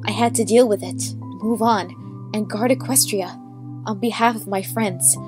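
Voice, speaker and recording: Give me a woman playing a solemn heroine telling her tale, mid-pitched and wistful, with music clearly behind. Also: steady tone, moderate pace, close to an everyday voice. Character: solemn, wistful pony heroine recounting her friends' lives. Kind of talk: scripted story narration.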